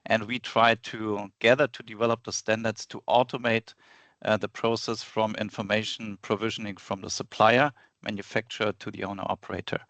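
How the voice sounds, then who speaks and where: A man with a fairly low voice explains his work in a measured, orderly way. The sound is dry and close, heard over a video call. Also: fairly bright tone, no echo. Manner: measured, explanatory, with list-like phrasing. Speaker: product manager presenting. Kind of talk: business meeting introduction.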